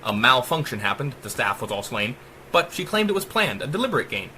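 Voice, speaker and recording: A man narrating a dark rhyming story as a dramatic audiobook, with theatrical, emphatic rhythm. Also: medium pitch, very animated, fairly quick, slight background noise.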